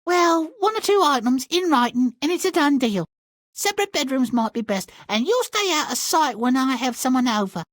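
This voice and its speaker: A man's voice: very high voice, animated, moderate pace, a bit bright, no echo, clean studio sound. A man voicing a flighty, materialistic young fairy-tale heroine in a comic game, with breezy, self-absorbed valley-girl talk. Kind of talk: comic video game cutscene acting.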